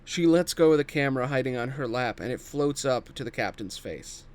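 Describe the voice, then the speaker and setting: A man's voice: mid-range voice, lively intonation, a little fast, a little mellow. A man narrating scripted stage directions at a table read.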